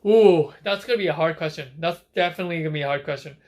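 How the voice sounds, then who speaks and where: A man's voice: fairly high pitch, racing, darkish tone. A man from Hong Kong hosting a casual live stream, reading out viewer questions and answering them on the spot.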